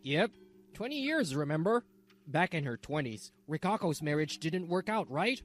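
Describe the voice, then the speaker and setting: A woman's voice: sits low, very animated, moderate pace, soft background music. A woman voicing a smug, sneering middle-aged husband in a manga dub. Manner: sneering, dismissive.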